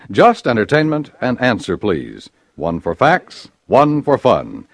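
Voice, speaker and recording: A man with an American accent making polished, emphatic scripted announcements in 1950s radio style. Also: mid-range voice. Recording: dry 1950s radio broadcast.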